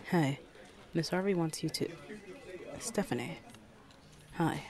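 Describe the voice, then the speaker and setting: A woman's voice: low-pitched, slow pace, gravelly, crowd noise, heavily acted. A woman playing a stern, suspicious, bossy office enforcer in an audio roleplay, speaking curtly and with command. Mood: curt, cold. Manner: curt, commanding, guarded.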